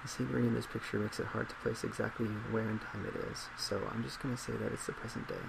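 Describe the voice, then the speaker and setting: A young man with a fairly low voice plays a psychologist in a soft-spoken ASMR role play. He talks in a measured, reassuring, slightly hesitant way, with heavy vocal fry. A loud laptop fan drones behind him in the small office. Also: little pitch movement, noisy background. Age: 20s.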